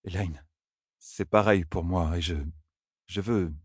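A man voicing a shy, awkward young shepherd in an audiobook, speaking quietly and hesitantly in fumbling phrases. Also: deep voice, steady tone, slow, husky, no echo, clean studio sound, exaggerated voice. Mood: flustered. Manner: hesitant, fumbling, quiet, breaking off mid-sentence.

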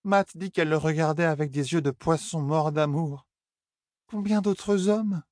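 A man's voice: a little slow, a little mellow, no room sound, studio quality, close to an everyday voice. A man voicing a tipsy young royal heiress who slurs, sulks and flares up. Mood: indignant. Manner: high, slurred pleading and indignant outbursts. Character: drunk young royal heiress, petulant and tearful.

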